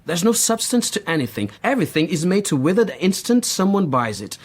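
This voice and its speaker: An actor playing an earnest, idealistic outsider in a radio drama, indignant and halting when upset. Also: male voice, high voice, big pitch swings, slightly rough, heavily acted. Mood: troubled, earnest. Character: anguished, idealistic male outsider, a so-called savage.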